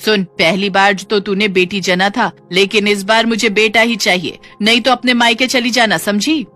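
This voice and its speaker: A woman voicing a cruel, scolding old mother-in-law with drawn-out, taunting exclamations in a cartoon story. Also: medium pitch, fairly even intonation, sharp tone, soft background music, natural voice. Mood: scolding, scornful.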